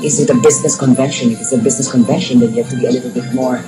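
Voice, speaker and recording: A woman's voice: very deep voice, steady tone, racing. A woman from the Philippines, a life coach and therapist answering radio interview questions, explaining at length with fillers and bits of Tagalog. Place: radio studio. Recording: music playing underneath.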